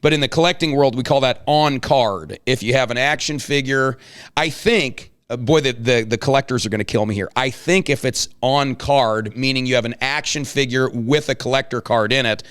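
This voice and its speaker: A man's voice: medium pitch, normal speed, a bit bright, clean tone. A man in his forties hosting a casual interview, talking informally with slang and rambling asides. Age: 40s. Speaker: host.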